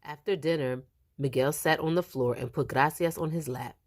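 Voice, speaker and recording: A woman reading a picture book aloud to young children in an animated, expressive storytelling style. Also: low voice, normal speed. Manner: animated, acts out the dialogue.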